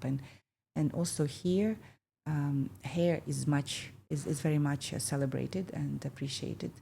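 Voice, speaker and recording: Low gravelly-voiced woman in her fifties. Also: warm tone, slight background noise. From Kazakhstan. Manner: thoughtful, with many pauses and fillers. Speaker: podcast host. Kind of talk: reflective conversational chat.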